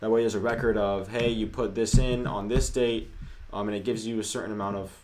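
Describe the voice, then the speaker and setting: Low-voiced man answering viewer questions. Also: steady tone, brisk, a little mellow. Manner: conversational, many fillers, hedging asides. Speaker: co-host. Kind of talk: casual livestream chat, answering viewer questions.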